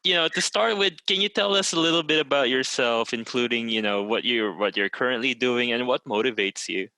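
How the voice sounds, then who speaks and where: A man's voice: mid-range voice, fairly quick, bright, forceful tone, dry recording, rough recording. A man hosting an interview podcast, introducing his guest in a friendly way. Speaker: podcast host.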